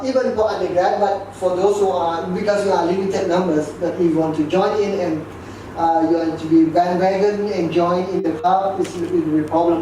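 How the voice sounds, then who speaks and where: A man with a high voice leads an informal orientation for students. He draws his listeners in with prompts and questions. He is picked up from a distance in a very echoey, noisy hall. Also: warm tone. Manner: interactive, prompting listeners to answer and repeat. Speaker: host leading a student orientation. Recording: very echoey hall, distant mic, clear noise.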